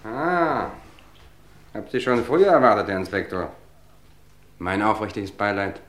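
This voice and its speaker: A man's voice: lowish voice, big pitch swings, fairly bright tone. Suave, mocking male poisoner. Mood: smug, mocking. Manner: suave, mocking, measured, theatrically polite. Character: smug, cultivated male poisoner, coolly taunting police. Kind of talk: vintage German radio crime drama acting.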